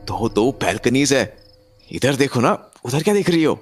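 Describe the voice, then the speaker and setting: A man's voice: mid-range voice, very animated, normal speed, faint music behind, natural voice. An actor playing an easygoing, dismissive husband and young father in a horror audio drama, speaking colloquially and telling the story. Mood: casual, dismissive. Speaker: actor and first-person narrator. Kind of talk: Hindi horror audio drama, acted dialogue and narration.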